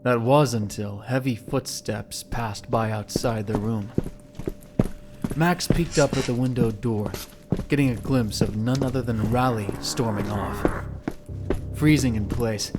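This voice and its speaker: A man's voice: mid-range voice, measured pace. A man giving scripted, dramatic story narration for an audio drama. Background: background music.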